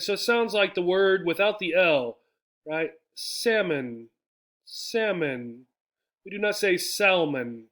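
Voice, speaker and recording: An American man teaches English vocabulary in a fairly high-pitched voice. He explains in a didactic manner, says key words over again and often tags his points with a short check for understanding. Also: fairly bright tone. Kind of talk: vocabulary lesson explained slowly to learners.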